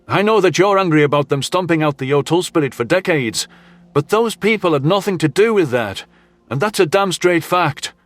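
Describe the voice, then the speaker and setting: A man voicing a weary, blunt human military officer with a firm, measured delivery in a science fiction story. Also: a little high, expressive, lightly acted.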